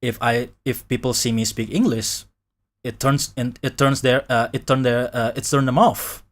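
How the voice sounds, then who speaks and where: A man's voice: mid-range voice, fairly even intonation, moderate pace. A man from Indonesia, chatting casually on a live stream, rambling and restarting his sentences and laughing often. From Indonesia, Malay from Sumatra. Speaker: VTuber streamer.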